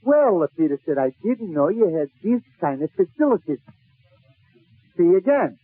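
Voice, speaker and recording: A man's voice: high-pitched, big pitch swings, moderate pace, breathy edge, no room sound. A man telling a comic story in 1940s radio style, acting out the dialogue as he goes. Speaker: comedian. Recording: tinny narrowband old radio broadcast.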